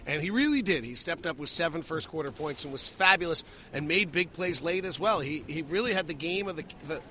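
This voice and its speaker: A man's voice: fairly high pitch, animated, fairly quick, pushed and bright, a little hiss or hum. A man hosting a sports postgame podcast, talking emphatically as a broadcaster does. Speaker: podcast host, radio play-by-play broadcaster.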